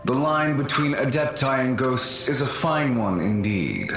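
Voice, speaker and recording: A man voicing the melancholy, courteous ghost of an ancient fallen warrior in a video game, speaking formally and with measured care. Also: mid-range voice, very animated, fairly bright tone. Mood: solemn, wistful. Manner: formal, measured, sighing, old-fashioned phrasing. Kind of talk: scripted game voice acting. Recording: echoing reverb with faint music behind.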